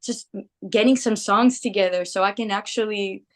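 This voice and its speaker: A woman's voice: mid-range voice, moderate pace. A young woman, Greek by birth with Greek as her native language, chatting animatedly in an interview and laughing often. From Greece. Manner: animated, laughs often, quotes people in put-on voices. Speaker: musician guest. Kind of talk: casual interview chat.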